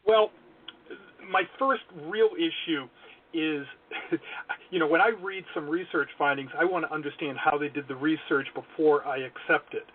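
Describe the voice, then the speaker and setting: A man's voice: fairly high pitch, moderate pace, slight background noise. A male consulting guest speaking earnestly and analytically in an interview, with wry self-deprecating asides. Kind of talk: podcast interview talk. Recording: tinny phone line.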